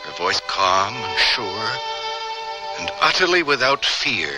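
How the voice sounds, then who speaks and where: An actor playing a grieving, devoted scientist husband, solemn and theatrical in old-time radio drama style. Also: male voice, fairly low pitch, very animated, loud music behind the voice, poor audio. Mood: solemn recollection. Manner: theatrical, halting, emotional delivery.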